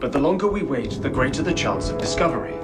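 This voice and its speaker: A man's voice: mid-range voice, sing-song, fast, close to an everyday voice. An actor playing a deferential, anxious male underling in a video game, formal and cautious. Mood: respectful worry. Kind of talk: dramatic video game acting. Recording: loud music behind the voice.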